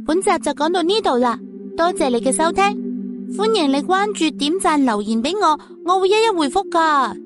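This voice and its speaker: Woman, extremely high pitch, scripted presenter announcement, music behind. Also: animated, normal speed. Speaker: channel presenter.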